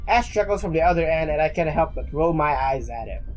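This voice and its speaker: A man's voice: a little high, expressive, a little fast, poor audio. A man reading a visual novel aloud as a let's player, with crude, playful joking asides. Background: game music.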